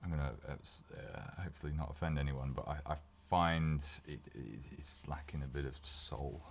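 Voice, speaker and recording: A slightly hoarse man from Wales, a guest dentist in casual chat, talking in loose stops and starts with easy laughs. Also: extremely low pitch, moderate pace. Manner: chatty, laughing, frequent restarts and fillers.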